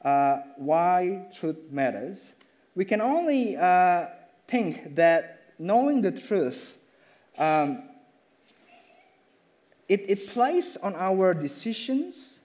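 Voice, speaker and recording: Guy giving a sermon, kinda high voice, lots of uhs and restarts. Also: very animated, darkish tone. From Indonesia. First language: Indonesian. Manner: halting, with many fillers and restarts. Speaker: preacher. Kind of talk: sermon with slides.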